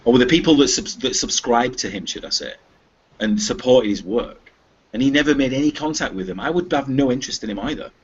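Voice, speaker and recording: A man in his forties hosting a casual group chat, talking quickly, interrupting and questioning the others. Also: medium pitch, animated, breathy edge. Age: 40s. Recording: dull lo-fi sound.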